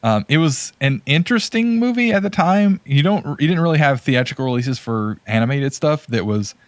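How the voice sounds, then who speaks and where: A man's voice: on the high side, animated, normal speed, dry recording. A man co-hosting a podcast, chatting casually about films in a hesitant, rambling way and often restating himself. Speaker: podcast co-host. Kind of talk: casual chat about movies and games.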